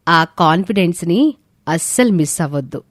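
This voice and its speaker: A kindly, encouraging lady teacher played by a woman in an audio storybook. Her reassuring voice sits fairly low, recorded close and dry. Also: lively intonation, normal speed, no echo. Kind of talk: dramatised moral story acting.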